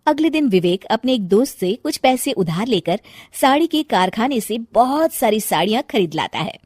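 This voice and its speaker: Lively woman storyteller, dry recording. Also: fairly high pitch, moderate pace, dry recording. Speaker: narrator. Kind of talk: scripted story narration.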